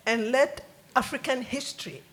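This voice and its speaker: A woman from Ghana asks an earnest, halting question from the audience microphone in a lecture hall. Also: high-pitched. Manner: earnest, halting, with many ums. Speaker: audience member asking a question. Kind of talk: audience question at a public forum. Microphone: audience floor microphone.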